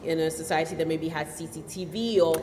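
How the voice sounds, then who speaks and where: A woman's voice: sits low, slight background noise. Chatty woman in an echoey hall. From Nigeria. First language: Yoruba. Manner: animated, quick bursts with many fillers. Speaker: novelist guest. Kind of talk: festival stage conversation. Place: festival hall. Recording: echoey hall with long reverb.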